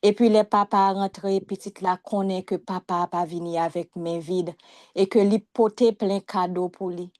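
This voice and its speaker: A woman's voice: medium pitch, steady tone, normal speed, a little mellow, no room sound, studio quality. A woman with a Haitian accent praying spontaneously and earnestly, addressing God. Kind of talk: spontaneous devotional prayer.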